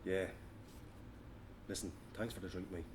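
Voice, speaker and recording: Low, curt actor as guarded ex-con, noisy room. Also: male voice, fairly even intonation, measured pace, darkish tone. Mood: subdued. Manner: curt, terse replies. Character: guarded, irritable man just out of prison. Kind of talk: informal table read of a script. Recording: clear background room noise.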